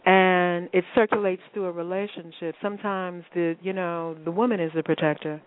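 A woman's voice: fairly low pitch, fairly even intonation, moderate pace, smooth. Woman host teaching over a phone line. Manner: reflective, teacherly, with restarts. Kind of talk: spiritual call-in radio talk. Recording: phone-line call-in audio.

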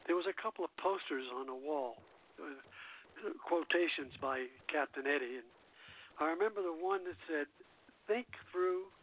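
An older man hosting a radio show, reading quotes and chatting, sometimes halting as he reads aloud. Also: fairly high pitch. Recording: muffled phone-like radio line.

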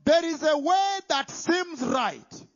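A man preaching a sermon, emphatic, moving between loud declarations and hushed whispers. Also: very high voice, animated, takes it slowly. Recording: dull lo-fi sound.

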